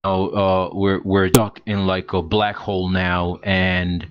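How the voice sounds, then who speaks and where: A man who works as an ambassador, speaking haltingly with many fillers and sounding disappointed. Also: sits low, flat delivery, lo-fi sound, a crowd in the background. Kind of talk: casual online discussion.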